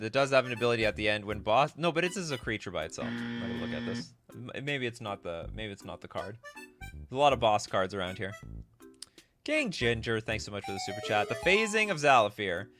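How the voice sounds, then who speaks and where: A man with a bright, forward voice and highly animated, swinging intonation. He hosts a casual live stream, reading text aloud and thinking out loud as he comments. Light music plays behind him. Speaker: host of a card game live stream.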